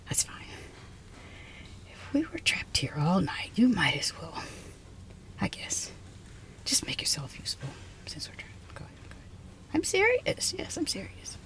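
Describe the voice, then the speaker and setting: A woman playing a haughty, flustered reclusive scientist in a close ASMR roleplay, halting and repeating herself as she gives orders. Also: mid-range voice, huge pitch swings, a bit bright. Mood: bossy, awkward. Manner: halting, repetitive, sighing, imperious.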